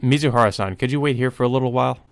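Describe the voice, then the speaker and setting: A man's voice: medium pitch, very fast, creaky, no room sound, natural voice. A man voicing an easygoing, teasing male private investigator in a visual novel, casual and wry. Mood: concerned. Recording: music behind the voice.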